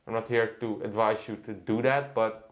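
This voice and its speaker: A man's voice: lowish voice, steady tone, moderate pace. Blunt, profane male fitness coach. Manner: blunt, profane, ranting with laughs. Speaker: fitness coach reacting to a clip. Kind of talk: casual reaction commentary.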